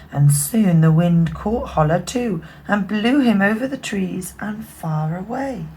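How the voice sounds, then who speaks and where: A woman reads a children's picture book aloud at a slow pace. Her voice is slightly low and expressive, slipping into hushed asides for the listener. A faint noise sits in the background. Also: poor audio. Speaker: bedtime story reader.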